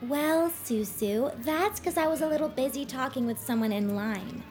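A woman's voice: high-pitched, moderate pace, a little mellow, faint music behind, natural voice. An actress playing a brash, sporty, sarcastic teenage girl in an audio drama. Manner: sarcastic, boastful.

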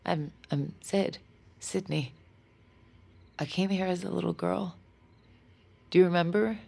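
A bewildered young woman on the run, low-pitched with plenty of creak. She is anxious and halting, in natural audio-drama style. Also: a little mellow, heavily acted. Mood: shaken, wary. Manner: hesitant, halting, emotional. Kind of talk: naturalistic audio fiction acting.

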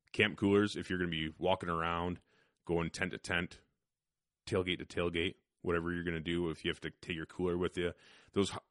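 Deep bright voiced guy hosting a podcast, swears a lot, rambly, dry close mic. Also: no echo. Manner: profane, rambling, laughs often. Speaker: podcast host. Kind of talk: casual podcast chat and ad read. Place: hunting cabin.

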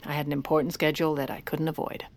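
Wry, low-voiced Lady. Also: flat delivery, rapid-fire, a little mellow, a little hiss or hum. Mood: wry, confiding. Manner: dry, wry, controlled. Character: wry, scheming, self-assured American First Lady. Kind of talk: audio drama narration and dialogue.

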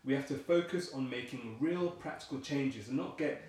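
An actor plays an earnest, practical-minded engineer in a radio play. His mid-pitched voice is naturalistic. It rings in a strongly echoing room with faint noise. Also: normal speed, darkish tone, lightly acted. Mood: earnest, insistent. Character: earnest, pragmatic older-generation agency engineer. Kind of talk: naturalistic audio play acting.

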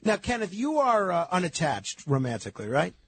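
Creaky, husky, animated male voice. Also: high voice, no room sound. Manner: hesitant, halting, earnest. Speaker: radio host. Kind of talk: conversational radio talk.

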